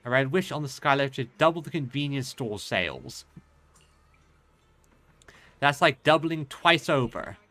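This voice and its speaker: Bright-voiced man playing a game. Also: medium pitch, expressive, measured pace, pauses often. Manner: rambling, hesitant thinking aloud. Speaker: game streamer. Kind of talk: casual let's play commentary, reading dialogue aloud.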